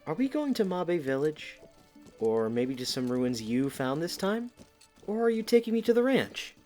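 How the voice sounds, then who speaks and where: Guy playing young fantasy knight for an audiodrama, earnest n practical, lively up and down voice, music behind. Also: on the high side, moderate pace. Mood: curious, guessing. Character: young male knight, earnest, cautious, playfully curious. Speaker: voice actor. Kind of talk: audio drama acting.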